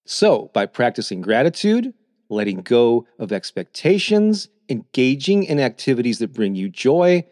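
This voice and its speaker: A middle-aged man reading his own calm, encouraging self-help talk as a podcast host. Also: mid-range voice, no echo, clean studio sound. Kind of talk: scripted motivational narration.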